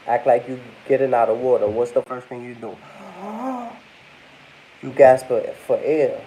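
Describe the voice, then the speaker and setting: A man's voice: mid-range voice, warm tone, a little hiss or hum, poor audio. Congested young man chatting casually. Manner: rambling, addresses viewers as y'all. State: congested, under the weather. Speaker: vlogger. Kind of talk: casual talk to camera.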